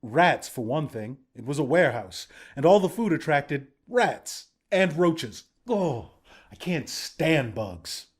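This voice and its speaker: Earnest young rebel leader, higher-pitched voice. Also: male voice, big pitch swings, slow. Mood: incredulous, griping. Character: earnest young male rebel leader, friendly, idealistic, self-doubting. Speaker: video game voice actor. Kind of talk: video game character dialogue.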